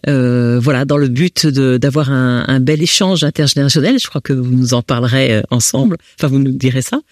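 A woman's voice: rumbling low, lively intonation, a little fast, dry recording, clean studio sound. A woman with a French accent answers interview questions as founder of a housing association, explaining with frequent restarts. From France.

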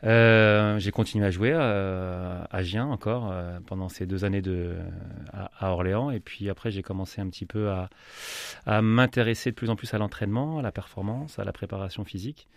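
A man in his thirties with a deep, gravelly voice talks through his football career on a radio show. He pauses and restarts often, in a relaxed, unhurried way. The studio sound is dry and close. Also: dry recording. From Paris region, France. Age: 30s. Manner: relaxed, many hesitations and restarts. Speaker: football coach and club manager. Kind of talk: radio interview answers.

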